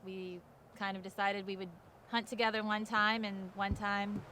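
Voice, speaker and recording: Woman, flat intonation, casual interview storytelling, fossil hunter, faint background noise. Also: medium pitch, moderate pace.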